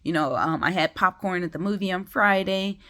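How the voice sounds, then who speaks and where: A woman's voice: lowish voice, a little fast, a bit bright. A woman with a congested, sniffly voice talking casually to camera at home, rambling with many ums. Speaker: vlogger. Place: home, just woke up.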